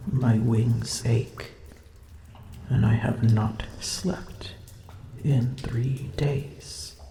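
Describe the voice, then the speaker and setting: Woman playing a tired vain she-dragon, gravelly whispery voice, very slow drawl, echoey room with loud dripping behind. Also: very deep voice, a little hiss or hum, heavily acted. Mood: weary. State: weary. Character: drowsy, aloof female dragon, vain and teasing. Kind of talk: ASMR audio roleplay. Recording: roomy echo with loud ambient drips.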